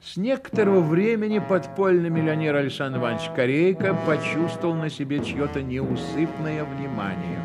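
An actor narrating a satirical radio play as a wry, theatrical storyteller, with weighty, drawn-out phrases. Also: male voice, on the high side. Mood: ominous, wry.

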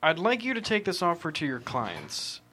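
Male actor as firm defense lawyer, forceful tone, highish voice, curt, businesslike. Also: huge pitch swings, normal speed, heavily acted. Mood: guarded, firm. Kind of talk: table read of a legal drama.

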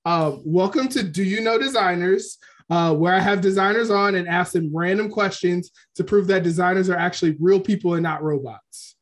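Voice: mid-range voice, normal speed. A podcast host in casual chat, talking loosely with ums and trailing restarts.

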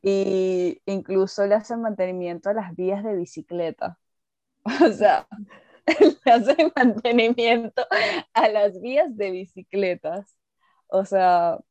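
Emphatic Venezuelan woman, fast talk. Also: mid-range voice, fairly even intonation, darkish tone, dry recording. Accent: Venezuelan Spanish. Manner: quick, emphatic, swears casually. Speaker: podcast guest. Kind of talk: casual chat.

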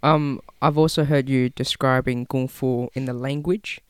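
A man interviewing a martial arts teacher, chiming in with short affirmations and gentle prompts. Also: medium pitch, fairly even intonation, measured pace, clear, resonant tone, no room sound, studio quality.

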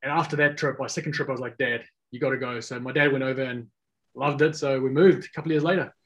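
Relaxed man, casual. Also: medium pitch, quick pace. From South Africa. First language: Afrikaans. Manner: rambling, many fillers and restarts. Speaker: guest. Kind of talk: casual podcast chat.

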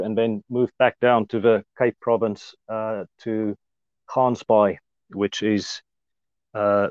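A man in his thirties from South Africa with an Afrikaans accent, speaking as an interview guest in a relaxed, hesitant way with many fillers. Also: medium pitch, no echo, dull audio. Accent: Afrikaans-accented South African English. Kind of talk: casual conversational interview.

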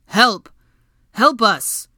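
A woman's voice: deep voice, expressive, normal speed, a bit bright, no room sound, clean studio sound, heavily acted. A woman voicing a haughty, irritable young wizard man in an audiobook, snapping and grumbling with a sneer. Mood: shouting. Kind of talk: dramatic audiobook character dialogue.

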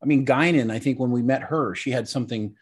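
Man, middle-pitched co-host of a casual review podcast, talks things through at length. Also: husky. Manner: measured, rambling explanations with fillers.

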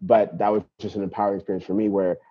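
Husky-voiced young man talking easy. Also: fairly low pitch, racing. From Nigeria. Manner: relaxed, reflective, lots of "you know" and "right?". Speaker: podcast guest, activist. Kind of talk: casual interview talk. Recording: dull lo-fi podcast audio.

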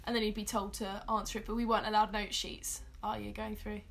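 A woman's voice: mid-range voice, level tone, brisk, gravelly, a bit bright. A nineteen-year-old woman with a British accent, talking casually as a guest on a podcast. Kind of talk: casual chat.

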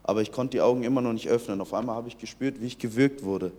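A man's voice: mid-range voice, racing, dark tone, big-room sound. A young man from Iran in his late teens, telling his personal faith testimony earnestly, often restarting his sentences. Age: young adult. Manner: earnest storytelling with many restarts.

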